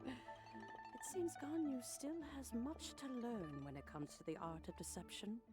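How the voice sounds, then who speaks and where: A woman playing a gentle, wistful old granny in a video game, speaking in a genteel, unhurried, reflective way over background music. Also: fairly high pitch, very animated. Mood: kindly, lightly amused.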